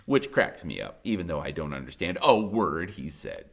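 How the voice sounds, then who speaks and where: A man reading a children's novel aloud in the first person, like a teacher, expressive and colloquial. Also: rumbling low, moderate pace, vocal fry.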